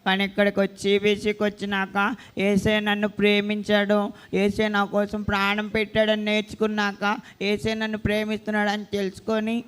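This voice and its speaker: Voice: mid-range voice, monotone, moderate pace, fairly bright tone, clear, resonant tone, close to an everyday voice. A performer voicing an eager, insistent little reformed troublemaker boy puppet in a children's church show. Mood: confessing. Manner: eager, insistent, calling out to elder sister.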